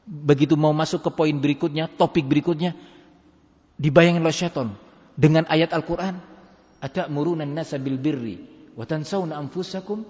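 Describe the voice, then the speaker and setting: A man preaching an Islamic lecture, deliberate and emphatic, repeating phrases and mixing in Arabic terms. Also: on the high side, a little mellow. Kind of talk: sermon, religious lecture. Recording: dull, reverberant hall recording.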